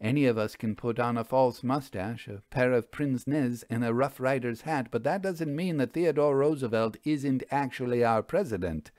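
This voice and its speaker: A sharp, commanding woman investigator as played by a male narrator speaks in a dry, exacting way. Her audiobook dialogue is formal and pointed, heard in a close, dry recording. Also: mid-range voice, normal speed, few pauses, dry recording, close to an everyday voice. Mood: dry, snarling.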